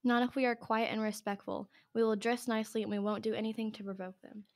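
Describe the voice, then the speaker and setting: A woman's voice: on the high side, level tone, fast talker, no room sound, natural voice. Woman as an earnest teenage boy, close dry sound. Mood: determined. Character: earnest, determined teenage boy, college student. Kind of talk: amateur radio drama acting.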